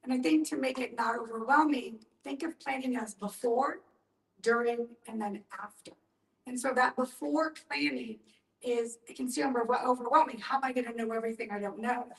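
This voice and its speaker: Female discussion participant, higher pitch, conversational and emphatic, recorded at a distance with noticeable room echo. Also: fairly quick. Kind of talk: conversational advice. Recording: echoey room, distant from mic.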